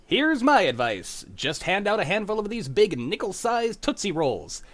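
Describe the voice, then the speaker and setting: Man doing a chipper high-pitched radio candy pitchman. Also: very animated, moderate pace, a bit bright. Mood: chipper salesmanship. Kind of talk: 1940s radio commercial acting.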